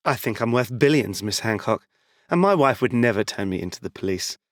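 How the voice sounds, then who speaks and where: A man's voice: lowish voice, animated, a little fast, no echo, studio quality, lightly acted. Man playing a smug, greedy con man. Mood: smug, dismissive. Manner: glib, self-satisfied. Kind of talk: audio drama acting.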